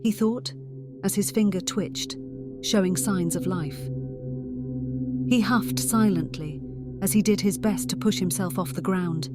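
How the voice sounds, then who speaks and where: A woman's voice: medium pitch, measured pace, long pauses. A woman reading scripted fiction as a dramatic audiobook narrator. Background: music.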